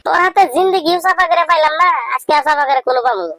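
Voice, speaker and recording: A man voicing a skeptical, needling young village friend in a comedy cartoon, with a rural Bangladeshi accent and pitched-up voice. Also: very fast, pushed and bright, heavily acted.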